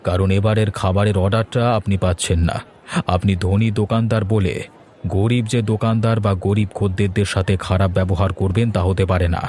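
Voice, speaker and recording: A man playing a principled city gentleman in a cartoon story, speaking formally and sternly, as if giving a moral lesson. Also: on the low side, fairly even intonation, a little fast, a faint crowd, lightly acted. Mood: reproving.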